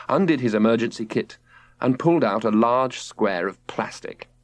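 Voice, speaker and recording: A man narrating a children's audiobook in an expressive, scripted storytelling style. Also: medium pitch, dry recording.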